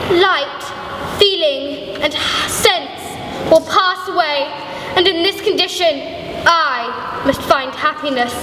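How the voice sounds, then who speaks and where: Casting: young woman playing a tormented, lonely monster; high, slow declamation in a noisy, reverberant hall. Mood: mournful, resigned. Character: anguished, lonely monster lamenting his cursed creation. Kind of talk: dramatic literary reading. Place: large reverberant church. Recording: echoey church hall, distant mic, noisy.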